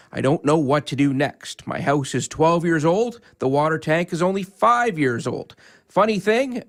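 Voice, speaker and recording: A man co-hosting a radio show, presenting and reading out listener questions. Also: fairly high pitch.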